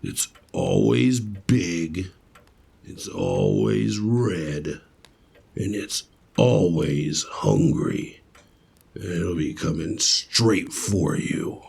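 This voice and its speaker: A man voicing an ancient, forlorn gingerbread ornament in a radio story, with a raspy, broken voice and slow, halting, ominous delivery. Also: sits low, darkish tone, full character voice. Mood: grim, foreboding. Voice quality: raspy, croaking, broken old voice. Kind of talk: radio story character acting.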